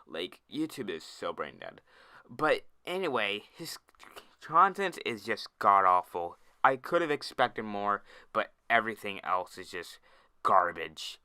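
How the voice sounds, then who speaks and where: A young man rants in a commentary video, sounding exasperated and stumbling over his words as he restarts them. His voice is bright and clear, his pitch swings widely, and he talks slowly. The recording is dry and close. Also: sing-song, dry recording. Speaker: YouTube commentator.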